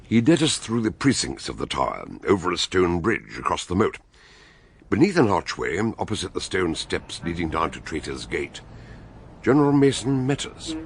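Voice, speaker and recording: A man acting a courteous, inquisitive, learned English detective and storyteller in a radio drama, speaking deliberately and articulately. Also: deep voice, wildly swooping pitch, breathy edge, full character voice. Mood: measured, grave. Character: measured, inquisitive learned male sleuth and storyteller. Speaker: investigator and narrator. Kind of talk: radio drama dialogue and narration.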